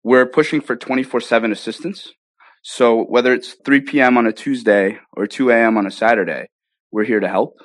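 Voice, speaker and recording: A man's voice: mid-range voice, steady tone, a little fast, dry recording, clean studio sound. A man being interviewed, explaining his work enthusiastically in a conversational way, often checking in with "right?". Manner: conversational, punctuates points with "right?" and "you know". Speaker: guest, app founder being interviewed.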